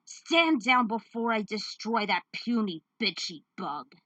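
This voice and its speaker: A woman's voice: medium pitch, very animated, slow, a bit bright, no room sound, far from the mic. Woman acting a menacing brute villain, barking threats. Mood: menacing, angry. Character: menacing male brute villain, bullying and irritable.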